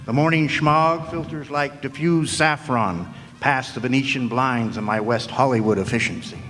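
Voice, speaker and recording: Male actor as a hardboiled radio detective: cynical, world-weary, deadpan narration, recorded in a reverberant hall. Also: medium pitch, lightly acted. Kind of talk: 1950s noir radio drama narration. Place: large hall, live stage performance. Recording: echoey hall, stage performance.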